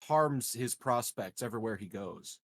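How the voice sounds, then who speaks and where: A man playing a deadpan, scheming political candidate in a tabletop game, speaking hesitantly and with sly politeness. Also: mid-range voice, moderate pace, no echo, clean studio sound. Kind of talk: improvised tabletop roleplay.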